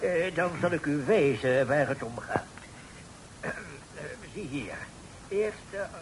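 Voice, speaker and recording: An actor playing a courteous, wordy merchant employer in a radio play, speaking politely and in a roundabout way. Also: male voice, on the high side, lively intonation, slow, low-quality recording. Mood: hesitant. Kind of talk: old Dutch radio play acting. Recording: old radio recording with faint noise.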